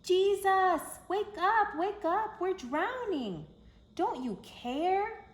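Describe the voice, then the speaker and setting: A woman's voice: extremely high pitch, animated, extremely slow, voice pushed up, heavily acted. A woman voicing panicked, pleading disciples caught in a storm for a children's storybook. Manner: urgent, pleading. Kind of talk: storybook read-aloud for children. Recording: echoey room with background noise.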